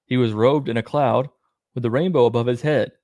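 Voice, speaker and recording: A man with a mid-pitched voice reads aloud as a narrator and host. He is plain-spoken and casually irreverent. The recording is dry, with hardly any room sound. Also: brisk, a little mellow, dry recording. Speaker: host reading and commenting on scripture.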